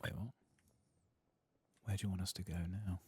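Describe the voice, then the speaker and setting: A man hosting an ASMR game playthrough, speaking softly and casually in a breathy murmur that often drops toward a whisper. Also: low voice, steady tone, normal speed, slight background noise. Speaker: ASMR gaming channel host. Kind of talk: soft-spoken ASMR game playthrough narration.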